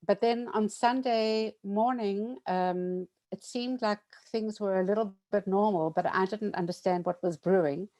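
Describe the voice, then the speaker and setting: Mellow-voiced woman in her sixties, halting. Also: medium pitch. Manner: hesitant, halting, with frequent ums and restarts. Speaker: bereaved widow sharing memorial story. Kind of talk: personal memorial testimony.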